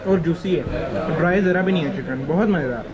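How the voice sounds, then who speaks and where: A man's voice: a little high, brisk, crowd noise, rough recording. A young man in his twenties with a Pakistani accent, giving casual food vlog commentary into a handheld camera. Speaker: food vlogger. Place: busy restaurant, street, car and bakery. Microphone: handheld camera at arm's length. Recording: loud street and restaurant noise behind.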